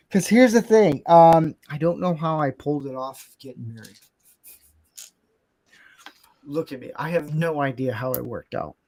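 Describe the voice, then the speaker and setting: A man's voice: fairly high pitch, a little slow, pauses often, a little mellow, low-quality recording. A man in his late thirties hosting a casual livestream chat, informal and bantering. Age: late 30s. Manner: informal, bantering, occasionally swearing. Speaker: livestream host.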